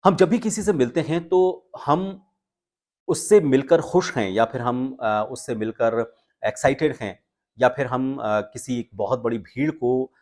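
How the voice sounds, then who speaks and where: A man speaks as a voice coach teaching viewers. His voice sits at a medium pitch. He phrases things deliberately, setting key words apart with pauses in a measured, explanatory way. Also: a little mellow, faint music behind. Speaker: voice training instructor. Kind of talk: instructional talk on voice modulation, presenting to viewers.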